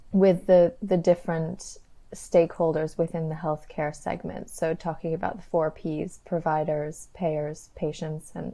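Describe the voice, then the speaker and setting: A woman's voice: on the low side, warm tone. A woman interviewer putting professional questions to a guest.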